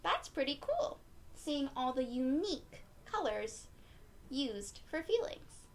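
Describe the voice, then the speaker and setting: High-voiced woman reading slowly to children. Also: slight background noise. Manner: encouraging, expressive, asks listeners questions and pauses for answers. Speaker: children's read-aloud storyteller. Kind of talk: picture book read-aloud to young children.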